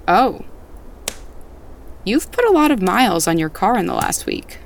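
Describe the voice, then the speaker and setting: An actress playing a curious, thoughtful woman with a gentle, probing manner, in naturalistic audio drama dialogue. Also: mid-range voice, big pitch swings, measured pace, vocal fry. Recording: faint outdoor ambient noise.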